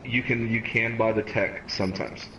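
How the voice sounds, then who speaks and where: A man's voice: lowish voice, steady tone, normal speed, a bit bright, highs cut off. A man in his thirties, raised in America, hosting a casual gaming stream chat and rambling with repeated words as he thinks aloud. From Cayman Islands, raised in America. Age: 30s. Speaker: stream host. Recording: roomy, distant mic with faint noise.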